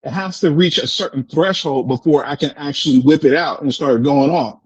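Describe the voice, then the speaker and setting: An American man in a casual debate, explaining in an animated way, repeating words and often checking with "right?". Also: on the high side, moderate pace, breathy edge, dry recording, muffled recording. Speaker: discussion participant answering questions.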